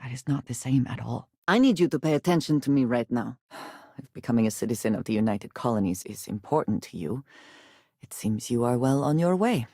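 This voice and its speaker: Game companion role: intense young spacefaring woman, guarded temper, formal measured diction, very dry studio sound. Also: very deep voice, lively intonation, dark tone, no room sound, heavily acted. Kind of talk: video game character dialogue.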